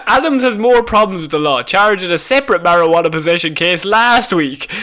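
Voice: medium pitch, expressive, fairly quick, a bit bright. A podcast co-host with a mid-range voice. The delivery is casual and comic, like banter between friends over odd news, with laughter often breaking into the speech. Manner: jokey, laughs often while talking. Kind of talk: casual comedic chat about odd news.

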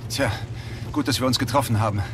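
An actor playing a practical, protective young rookie cop in an audio drama. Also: male voice, medium pitch, fast talker, background noise, lightly acted. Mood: guarded, friendly.

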